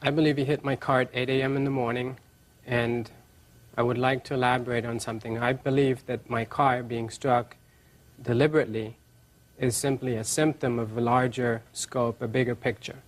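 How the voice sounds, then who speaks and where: A man testifying as a defendant in a TV court, with deliberate and formal wording. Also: mid-range voice, little pitch movement.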